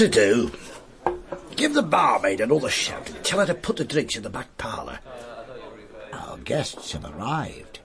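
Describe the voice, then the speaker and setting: An actor playing a gruff, wry police inspector in a radio drama, speaking in a deliberate, sardonic, commanding way. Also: male voice, mid-range voice, a bit bright, heavily acted. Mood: wry, bossy.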